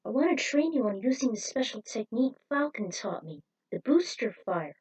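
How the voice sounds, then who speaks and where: A woman's voice: fairly high pitch, big pitch swings, normal speed, darkish tone, husky, far from the mic, pitched above the performer's own voice, poor audio. A woman playing an earnest male pilot who mentors firmly and reassuringly in a story. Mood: determined.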